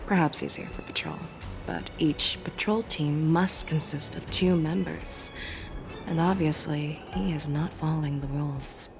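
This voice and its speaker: A composed, lower-voiced general. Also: female voice, creaky, music in the background. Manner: analytical, measured. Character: shrewd, composed woman military leader. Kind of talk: video game cutscene voice acting.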